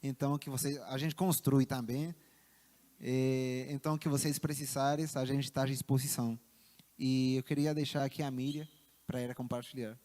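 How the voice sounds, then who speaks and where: A man with a Chilean accent speaks earnestly to a congregation, halting and repeating words as he goes. His voice is mellow and dark, at a middle pitch. The sound carries a long hall reverb. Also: level tone. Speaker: missionary. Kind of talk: church address.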